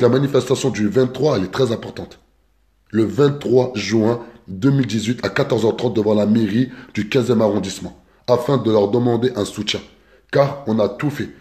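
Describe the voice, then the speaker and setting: A man from France making an earnest, deliberate political appeal as an activist spokesperson, repeating key words for emphasis. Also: on the low side, mellow. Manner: earnest, repetitive, stresses words like "exactement" and "simple"; deliberate, measured delivery. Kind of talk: political appeal, live video.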